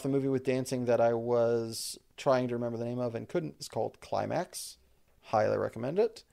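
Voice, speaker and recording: A man's voice: medium pitch, creaky, dark tone, smooth. A man co-hosting a casual podcast, joking, laughing often and sometimes tripping over his words. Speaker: podcast co-host. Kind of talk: casual podcast chat with a mock ad read.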